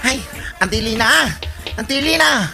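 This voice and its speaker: A woman playing a flustered, excitable village woman who stammers and blurts things out in a comedy radio drama. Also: fairly high pitch, normal speed, creaky, loud music behind the voice.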